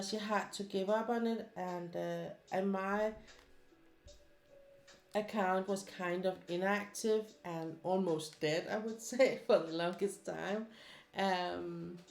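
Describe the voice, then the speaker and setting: A woman's voice: fairly low pitch, darkish tone, reverberant. A woman from Denmark with a Danish accent, chatting casually with her live stream viewers while she crafts, rambling with many fillers and restarts.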